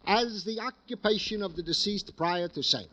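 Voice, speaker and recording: High pitched chatty cop sidekick, flowery n roundabout, 1950s radio drama, dull old audio. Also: male voice, normal speed, sharp tone. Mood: proud, verbose. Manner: flowery, mock-formal, roundabout. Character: eager, wordy, florid male police sergeant sidekick. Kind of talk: 1950s radio drama comic-relief acting. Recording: dull vintage radio recording.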